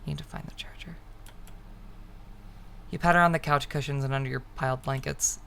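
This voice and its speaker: Woman, low creaky voice, dramatic story reading, hushed breathy endings, faint background noise. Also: steady tone, brisk. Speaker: streamer reading a visual novel aloud. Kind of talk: dramatic second-person story narration.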